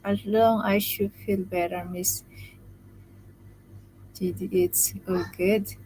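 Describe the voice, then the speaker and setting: Slow, soft-spoken woman after jaw surgery. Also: medium pitch, little pitch movement, slight background noise, poor audio. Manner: speaks softly, restarting phrases, laughing often. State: recovering from jaw surgery, tired. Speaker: live stream host. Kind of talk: casual live stream chat reading comments.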